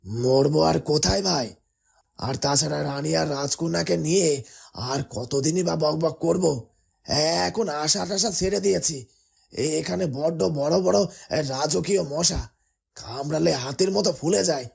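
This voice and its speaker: A man voicing a weary, remorseful prisoner in a cartoon fairy tale, muttering dramatically and haltingly. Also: a little high, creaky, darkish tone, slightly rough, full character voice. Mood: weary grumbling. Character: remorseful male prisoner, weary, vowing to escape.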